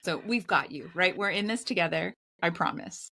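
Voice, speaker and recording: Low gravelly-voiced woman co-host. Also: expressive, pushed and bright. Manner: measured, reassuring, reads prepared lines. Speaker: podcast co-host. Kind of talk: semi-scripted podcast intro.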